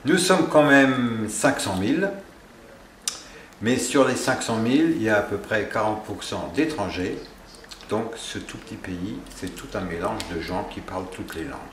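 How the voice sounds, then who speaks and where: A man with a Luxembourgish accent, a native Luxembourgish speaker, introducing himself as a writer in residence in a measured, reflective way. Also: fairly low pitch, expressive. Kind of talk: casual self-introduction. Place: on a river barge. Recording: echoey room with faint ambient noise.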